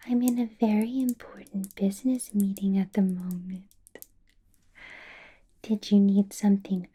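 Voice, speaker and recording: Woman acting as female vampire boss, ASMR roleplay, very slow delivery, soft mellow whisper, possessive, coaxing, politely menacing. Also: medium pitch. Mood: coolly imperious. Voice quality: breathy whisper.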